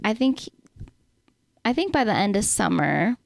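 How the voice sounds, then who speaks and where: A woman co-hosting a casual pop culture podcast, giving gossipy opinions and quick agreement. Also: medium pitch, sing-song, vocal fry, no echo. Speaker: podcast co-host. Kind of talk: casual chat.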